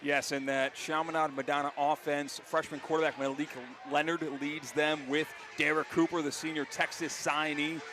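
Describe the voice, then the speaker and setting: An American man calling live football play-by-play for radio, excitable and given to shouting on big plays. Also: on the high side, moderate pace, few pauses. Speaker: play-by-play announcer. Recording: clear outdoor stadium background noise.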